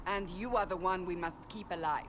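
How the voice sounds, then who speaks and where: A woman's voice: fairly low pitch, fairly even intonation, fairly quick, background noise, natural voice. An actress playing a stern, blunt woman warrior in a fantasy video game, giving clipped commands. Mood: firm. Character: stern, forceful woman warrior and holy seeker. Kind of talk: dramatic video game acting.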